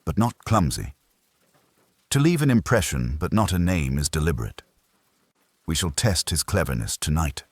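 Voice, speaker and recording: A man's voice: a bass voice, sing-song, moderate pace, long pauses, slightly rough, no echo, heavily acted. A man voicing an imperious, brooding detective genius in an audiobook, formal, curt and given to pronouncements. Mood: displeased, measured. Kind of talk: audiobook character dialogue.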